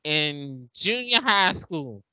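Woman, forties, wry co-host, slow lively delivery, dry lo-fi recording. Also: deep voice, smooth, no echo. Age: 40s. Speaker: podcast co-host. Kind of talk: casual group chat. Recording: dry dull lo-fi sound.

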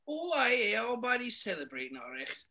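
A man reading a story aloud, putting on a stern, irritable middle-aged Scottish witch in a high falsetto, set back from the mic. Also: very animated, brisk, bright, forceful tone, exaggerated voice. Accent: Scottish, put on. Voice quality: high strained falsetto with thick Scots words.

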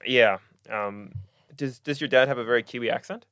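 A young man with an American accent, hosting a casual podcast chat in a relaxed, hesitant way with many pauses. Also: mid-range voice, dry recording, clean studio sound. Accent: American Midwest. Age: young adult. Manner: relaxed, hesitant, lots of ums and laughs. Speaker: podcast host.

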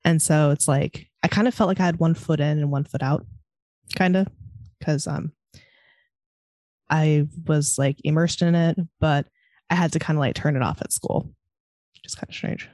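A woman's voice: low voice, fairly even intonation, normal speed, pauses often, mellow, dry recording. A woman from California with a Californian American accent, chatting casually in a hesitant, meandering way peppered with "like". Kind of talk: casual panel conversation.

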